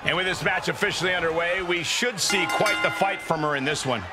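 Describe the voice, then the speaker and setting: A man playing an excitable play-by-play announcer in a wrestling video game, calling moves live. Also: high-pitched, fairly quick, natural voice. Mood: brisk, engaged. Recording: noisy arena with loud crowd. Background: roaring crowd and arena noise.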